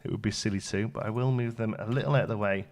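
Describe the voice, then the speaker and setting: A man's voice: lowish voice, fairly even intonation, rapid-fire, darkish tone. Chatty man doing gaming commentary. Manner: chatty, thinks aloud. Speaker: gaming video host. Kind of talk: casual let's play commentary.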